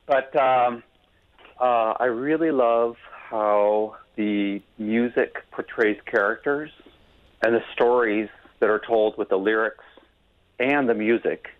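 A man calling into a radio show, speaking in a halting, hesitant way. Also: medium pitch, fairly even intonation. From Wisconsin, US. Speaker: caller. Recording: tinny phone line.

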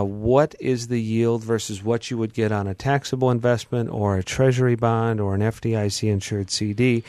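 Male advice host, calm and explanatory, dry studio voice. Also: on the low side, dry recording. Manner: measured, explanatory, dry humor. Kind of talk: radio advice talk.

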